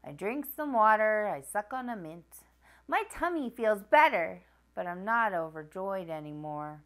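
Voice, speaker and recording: A woman in her thirties hosting a children's storytime, reading aloud in an expressive, sing-song storytelling manner. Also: medium pitch, a little slow. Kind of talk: children's picture book read-aloud. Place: small furnished room at a wooden table, indoors.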